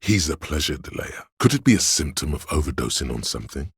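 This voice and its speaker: A hedonistic voice of craving from a video game, extremely deep and smooth. He narrates and coaxes straight at the listener, sly and seductive, lingering on words. The sound is close and dry. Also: sing-song, quick pace, no room sound, heavily acted. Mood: insinuating, curious. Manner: smooth, insinuating, savoring words. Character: seductive, hedonistic male inner voice of addiction and craving. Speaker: video game character voice actor. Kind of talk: dramatic game narration, second-person coaxing.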